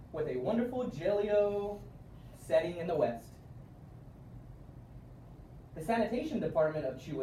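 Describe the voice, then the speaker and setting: A man reading a children's storybook aloud in an expressive way with emphatic word stress, recorded at a distance in a room. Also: on the high side. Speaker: storybook reader. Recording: roomy, distant mic with faint noise.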